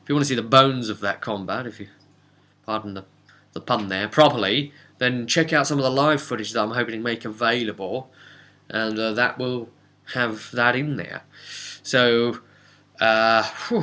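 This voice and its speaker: A man giving a casual, unscripted recap as a tabletop game master, rambling and animated with hesitant asides. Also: mid-range voice, moderate pace.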